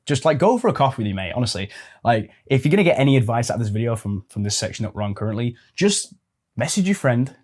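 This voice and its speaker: A man's voice: mid-range voice, a little mellow. A man, talking casually to viewers with informal fillers and swearing. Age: 20s. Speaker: host. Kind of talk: casual advice talk to camera.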